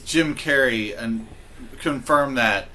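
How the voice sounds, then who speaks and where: A man's voice: mid-range voice, slow, sharp tone, a little hiss or hum, poor audio. A man co-hosting a podcast, chatting casually in a group discussion. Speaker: podcast co-host.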